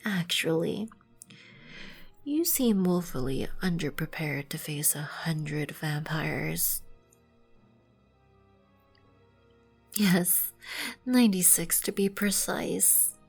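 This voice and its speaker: Woman playing a slow, husky, regal vampire queen. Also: lowish voice, animated, full character voice. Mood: measured, appraising. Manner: imperious, measured, formal. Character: regal, composed, proud vampire queen, protective of her colony. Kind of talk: ASMR roleplay, scripted second-person acting. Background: background music.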